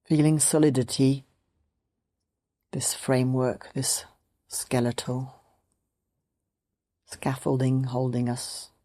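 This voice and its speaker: Woman, meditation teacher, very deep pitch, mellow tone, gentle, short phrases, long pauses. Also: fairly even intonation. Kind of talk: guided meditation.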